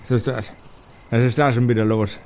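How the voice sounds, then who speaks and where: A man's voice: medium pitch, steady tone, a little fast, a little mellow, a little hiss or hum, pitched below the performer's own voice, lightly acted. A man voicing a grumpy, flustered old magician in a tabletop game, complaining and repeating himself in outrage. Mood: outraged, grumbling.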